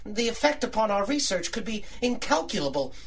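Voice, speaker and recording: A woman's voice: mid-range voice, big pitch swings, brisk, slightly rough. Dithering, fretful woman scholar. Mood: anxious. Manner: dithering, stammering, old-fashioned formal diction. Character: fretful, scholarly female museum doctor, motherly. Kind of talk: 1930s-style radio drama acting.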